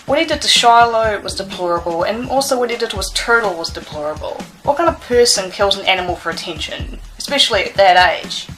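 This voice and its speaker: A woman speaking with disgust, pausing on fillers as she lists complaints. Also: medium pitch, animated, fairly bright tone, soft background music, rough recording. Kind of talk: ranting to camera.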